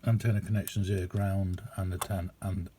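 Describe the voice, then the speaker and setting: A man giving a casual, rambling hands-on demonstration as a radio hobbyist, chatty and hesitant in an unhurried way. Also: low voice, level tone, warm tone, a little hiss or hum.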